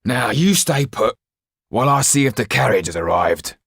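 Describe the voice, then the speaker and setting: A man voicing a rough, bullying, grumbling English jailer in an audiobook, with coarse, threatening, slangy speech. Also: medium pitch, animated, normal speed, fairly bright tone, dry recording, clean studio sound, pitched above the performer's own voice, heavily acted. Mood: menacing. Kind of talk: acted audiobook dialogue.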